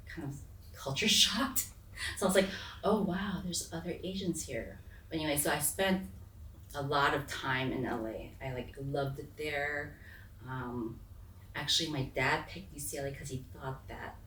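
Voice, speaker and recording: Female, 40s, American, low register, candid informal storyteller, recorded off-mic in a roomy space. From raised on US military bases, largely in South Korea. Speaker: interview guest. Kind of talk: conversational life-story telling. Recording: echoey room, distant mic, faint noise.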